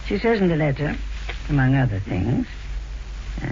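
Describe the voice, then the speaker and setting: An actor playing a suave elderly blackmailer with mannered, falsely polite speech in an old radio mystery. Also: male voice, fairly high pitch, big pitch swings, normal speed, darkish tone. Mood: sly, smug. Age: older. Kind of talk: 1940s radio mystery acting. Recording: noisy, dull vintage radio recording.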